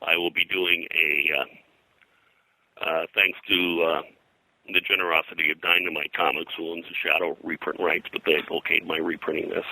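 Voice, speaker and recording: A man being interviewed as a historian and publisher, explaining history in a halting, detail-heavy, conversational way. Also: sits low, flat delivery, sharp tone, no echo, rough recording.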